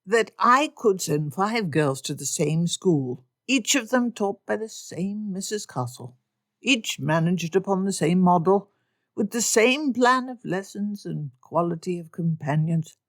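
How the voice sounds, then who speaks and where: Brief: tired, dry-witted father of five, read by a woman in her own low voice; storybook. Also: unhurried, no echo. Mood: dry weary. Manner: dry, weary, deadpan.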